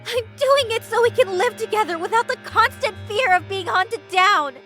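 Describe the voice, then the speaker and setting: An actress playing a determined, devoted young nun on the run in a dramatic visual novel, earnest and resolute, with music underneath. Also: female voice, very high voice, moderate pace, sharp tone. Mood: distressed, defiant.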